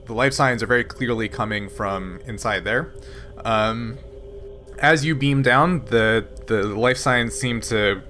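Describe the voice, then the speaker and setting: A man's voice: lowish voice, slight background noise. A man narrating as game master of a tabletop role-playing game, with loose, filler-heavy description. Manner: relaxed, rambling narration with many fillers.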